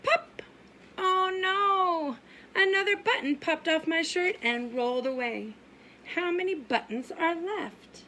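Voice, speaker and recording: A woman preschool teacher reading a story aloud for young children in a sing-song, exaggerated way, asking questions and pausing. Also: very high-pitched, pushed and bright, slight background noise. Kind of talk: animated children's story time read-aloud.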